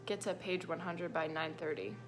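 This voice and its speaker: A woman's voice: sits low, animated, normal speed, soft background music. A woman vlogger chatting casually and informally to her viewers, rambling and poking fun at herself. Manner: informal, self-mocking, rambling. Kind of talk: casual confessional vlog chat to viewers.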